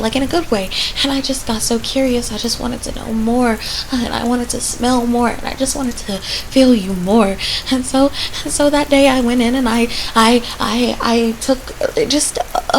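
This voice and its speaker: An actress voices a shy, obsessive female coworker in a roleplay audio drama. Her voice is on the high side and full of fry, stammering and circling back on pleading phrases. A soft wash of rain-like noise sits behind her. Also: low-quality recording. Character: shy, obsessive lovestruck woman stalker coworker confessing by phone.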